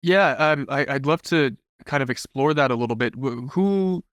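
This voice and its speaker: A man's voice: medium pitch, normal speed, a bit bright, no echo, studio quality. A man hosting an interview show, introducing his guest and asking questions in a conversational way.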